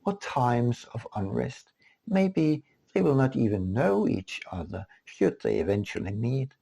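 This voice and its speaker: Man, audiobook narration, husky tone, very varied intonation, deliberate, solemn. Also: mid-range voice, mellow. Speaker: author reading own book.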